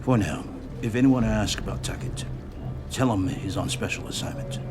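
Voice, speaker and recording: An actor playing a stern military commander in an audio drama, speaking with clipped authority. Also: male voice, fairly low pitch, moderate pace, natural voice. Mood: commanding. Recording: clear music behind.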